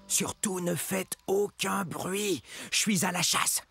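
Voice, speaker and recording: A man's voice: sits high, fairly even intonation, slow, vocal fry, loud music behind the voice, heavily acted. A man voicing a proud, secretive little meat-eating dinosaur in a children's cartoon, confiding in a dramatic, self-important way. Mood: secretive, wary. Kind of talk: animated children's educational cartoon monologue.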